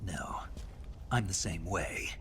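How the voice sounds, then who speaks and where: A deep, gruff covert soldier. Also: male voice, takes it slowly, fairly bright tone, slight background noise. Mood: guarded, probing. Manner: terse, guarded, questioning. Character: gruff, scarred, terse male soldier on covert mission. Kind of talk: dramatic video game voice acting.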